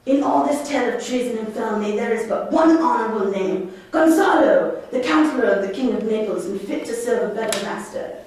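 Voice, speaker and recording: Exiled duke and sorcerer, puppet theatre, played by a woman: imperious, theatrical, fairly high voice, heavy room echo. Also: animated, fairly quick, hardly any pauses, darkish tone, natural voice. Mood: grave, bitter. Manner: theatrical, commanding, declamatory. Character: proud, imperious male exiled duke and magician, a father. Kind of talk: stage puppet-play acting. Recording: echoey room, distant microphone.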